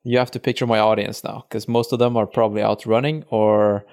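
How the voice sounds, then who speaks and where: A man's voice: on the low side, little pitch movement, a little fast, gravelly, a little mellow. A man hosting a casual conversation, easygoing and hesitant, with many fillers as he finds his words. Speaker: podcast host. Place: friend's house, video call.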